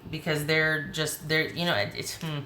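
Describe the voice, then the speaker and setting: A woman with a hoarse voice giving a chatty, rambling tarot reading, often restarting her sentences. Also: sits low, steady tone, normal speed, sharp tone, reverberant, a little hiss or hum. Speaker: tarot reader.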